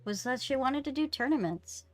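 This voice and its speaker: A woman's voice: high voice, expressive, normal speed, dry recording. A young woman streamer reacting casually to a game with blunt, playful interjections. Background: soft background game music.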